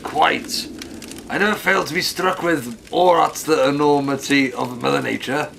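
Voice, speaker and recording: A man acts a stuffy middle-aged British father in a radio drama. The voice is bright and forceful with a creaky edge, fussy and formal in its phrasing, over faint noise. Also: fairly high pitch, a little slow. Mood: wordy, awed. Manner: fussy, wordy, formal, scolding. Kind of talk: 1930s-style radio play acting.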